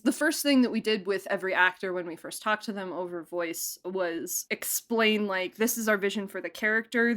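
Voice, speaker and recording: Woman, podcast guest, mid-pitched voice, chatty, effusive, quick to laugh, casual interview talk. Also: bright, forceful tone. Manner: chatty, effusive, laughs often while talking. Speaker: podcast guest, audio drama producer and director. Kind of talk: casual behind-the-scenes interview chat.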